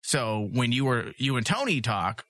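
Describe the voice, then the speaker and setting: A man hosting a casual comedy podcast, joking and self-mocking, with a voice that strains and keeps needing his throat cleared. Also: medium pitch, very animated, measured pace, fairly bright tone, dry recording, clean studio sound. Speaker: podcast host.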